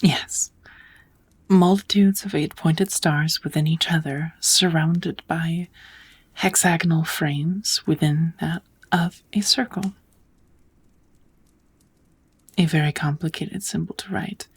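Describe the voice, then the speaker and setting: A woman playing a slow, breathy, kind witch teacher. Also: low voice, steady tone, full character voice. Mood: gentle, explaining. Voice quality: breathy, soft, often whispered. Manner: patient, encouraging, unhurried teaching. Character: kind witch, patient and gentle magic teacher. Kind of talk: ASMR roleplay, whispered lesson to one listener.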